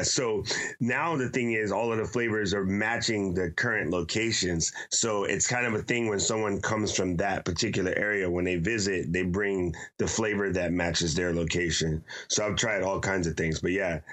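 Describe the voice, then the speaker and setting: A man with a lowish voice explains things eagerly in casual podcast chat. He keeps checking in with his listeners as he goes. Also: fairly bright tone. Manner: enthusiastic explainer, tags sentences with "right?".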